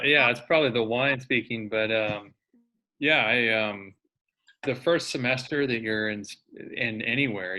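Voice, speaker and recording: Young man, bright low voice, halting. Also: rough recording. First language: English. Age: young adult. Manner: hesitant, halting phrasing with many "like"s. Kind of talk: casual chat. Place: online video call.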